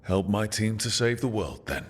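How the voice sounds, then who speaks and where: An actor playing an earnest, weary but determined team leader in a video game, plain-spoken and serious. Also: male voice, on the low side, lively intonation, moderate pace. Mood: worried.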